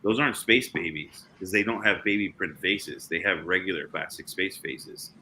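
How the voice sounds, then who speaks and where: A deep-voiced man, a guest in a casual chat, talking loosely and mumbling a little, recorded on a laptop in a room with a high ceiling. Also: moderate pace, slight background noise, low-quality recording. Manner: mumbling, relaxed, says he speaks up. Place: room with twenty-foot ceiling. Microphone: laptop without external mic.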